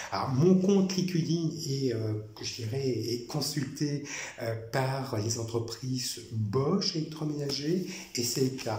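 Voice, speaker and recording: Guy from france rambling to camera, lots of euh and restarts, mid pitched, roomy sound. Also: a little mellow. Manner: halting, many fillers and restarts, repeats himself. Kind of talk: rambling personal monologue to camera. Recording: echoey room with faint noise.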